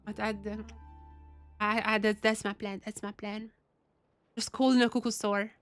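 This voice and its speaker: A woman's voice: mid-range voice, flat delivery, normal speed, fairly bright tone, faint music behind. A woman doing casual live game commentary for her chat, repeating phrases as she thinks aloud, her voice a little worn from a cold. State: getting over a cold, voice wearing out. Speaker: game streamer.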